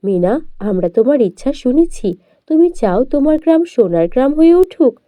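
A woman's voice: high voice, big pitch swings, normal speed, mellow, no echo. A woman voicing a kindly, protective magical fairy in a children's cartoon, giving solemn advice.

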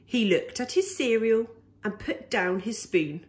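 A woman reading a children's picture book aloud in an animated, expressive, deliberate way. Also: mid-range voice.

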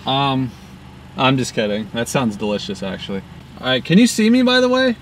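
A man's voice: mid-range voice, very animated, moderate pace. A young man playing pranks as a customer in casual banter, talking from inside a car at a drive-thru. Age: young adult. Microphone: camera held by passenger in the car. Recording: car engine and outdoor noise.

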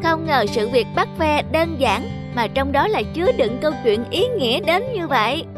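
An eager, curious little schoolboy in a cartoon folk tale, played by a woman. The voice is high and childlike, with children's music clearly behind it. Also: animated, normal speed. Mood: amazed. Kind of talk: scripted cartoon dubbing.

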